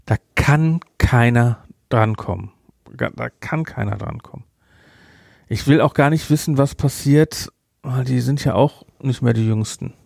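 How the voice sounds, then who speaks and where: A man hosting a podcast, giving a casual review in a blunt, emphatic, halting way. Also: mid-range voice, vocal fry, a little mellow, no room sound, studio quality. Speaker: podcast host.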